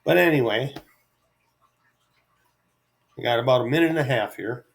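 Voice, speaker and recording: Halting, easygoing middle-aged man vlogging. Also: mid-range voice, creaky. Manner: halting, easygoing, self-deprecating. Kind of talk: unscripted solo ramble to camera.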